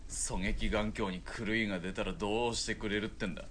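A cynical, short-tempered soldier acted by a man in a military radio drama. His low voice is gruff and rough, with faint noise around it. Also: steady tone, a little fast, a bit bright, natural voice. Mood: irritated. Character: surly, hot-tempered army spotter, cynical war veteran.

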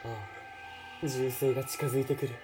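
An actor playing a doomed, tender young soldier, earnestly voicing a remorseful love letter in a radio drama. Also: male voice, medium pitch, flat delivery, moderate pace, natural voice. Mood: urgent, tender. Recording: distant voice under prominent music. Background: music.

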